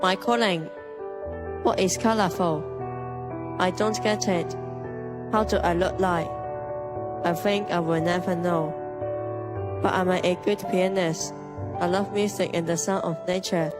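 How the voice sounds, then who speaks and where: A woman's voice: lowish voice, fairly even intonation, unhurried, pauses often. A woman giving a simple, reflective voice-over in a personal video. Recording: loud music behind the voice. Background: loud music.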